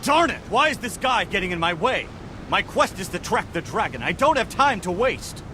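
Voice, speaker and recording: An actor playing a hot-headed, self-righteous adventurer in a game, exasperated and declamatory. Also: male voice, high voice, very animated, normal speed, noisy background. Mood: irritated, indignant. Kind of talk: theatrical game voice acting.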